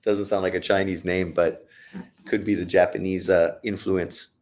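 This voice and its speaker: A man's voice: sits low, little pitch movement, moderate pace, darkish tone, slight background noise. Profane man calling a fight live. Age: adult, old enough to say he got old. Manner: profane, blunt and joking. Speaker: livestream host reacting to a boxing fight. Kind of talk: casual live commentary, reading chat.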